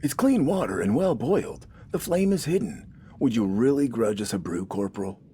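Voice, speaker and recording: A man's voice: medium pitch, sing-song, moderate pace, dark tone, slight background noise, pitched above the performer's own voice, lightly acted. A man voicing a wry, weary older farmer turned militiaman, stubbornly outspoken in a folksy, grumbling way. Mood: weary defiance. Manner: folksy, grumbling, plain-spoken.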